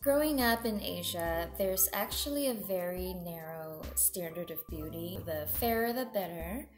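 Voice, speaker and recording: A woman's voice: lowish voice, expressive, a little slow. A woman in her twenties from Taiwan, a brand founder speaking earnestly and reflectively in an interview. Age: 20s. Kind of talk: personal interview. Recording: echoey room with music.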